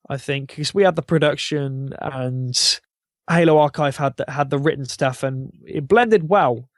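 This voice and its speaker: A man's voice: fairly high pitch, no echo, clean studio sound. A man talking casually as a podcast guest, rambling informally and with enthusiasm. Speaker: podcast guest, YouTube channel founder. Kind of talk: casual interview chat about a gaming channel.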